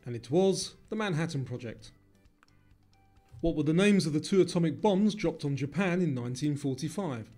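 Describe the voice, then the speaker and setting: A man narrating a scripted quiz, reading questions and answers in a measured, formal way. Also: fairly high pitch, lively intonation, dark tone. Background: music.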